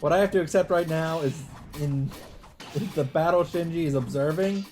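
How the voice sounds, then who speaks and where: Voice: medium pitch, moderate pace, darkish tone, a little hiss or hum. A let's player reading a visual novel aloud in dramatic narration, breaking off for casual asides and exclamations.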